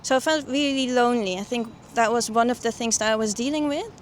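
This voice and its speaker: Young lady, kinda high voice, thinking out loud in an interview, some noise behind. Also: quick pace. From the Netherlands. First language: Dutch. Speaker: guest, coaching client and doctor. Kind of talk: personal testimonial interview.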